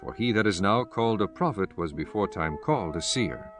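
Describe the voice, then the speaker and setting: Low-pitched man narrating scripture over music. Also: animated, a bit bright. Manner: measured, formal.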